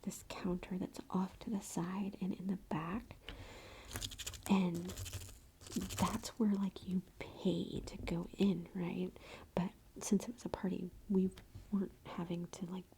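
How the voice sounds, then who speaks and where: A woman's voice: on the low side, little pitch movement, slow, vocal fry, background noise. A woman in her thirties whispering a chatty ASMR storytime, with lots of "like".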